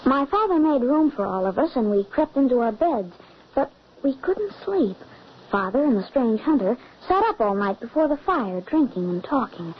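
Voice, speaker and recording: A woman's voice: a little high, sing-song, moderate pace. A woman playing an earnest, dutiful young boy who protects his little sister, in an old-time radio horror drama. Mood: solemn recounting. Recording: muffled, hissy old radio recording.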